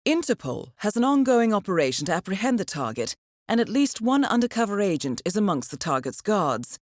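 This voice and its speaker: A woman voicing a composed middle-aged intelligence handler in a video game, measured and formal with dry understatement. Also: medium pitch, sing-song, dry recording. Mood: measured, businesslike. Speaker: mission handler. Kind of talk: scripted game voice acting, mission briefing.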